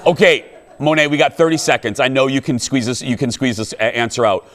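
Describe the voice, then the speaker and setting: A man's voice: medium pitch, fairly even intonation, brisk, fairly bright tone. A man in his fifties hosting a talk show, asking questions with enthusiasm, frequent restarts and playful teasing. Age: 50s. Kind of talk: casual chat.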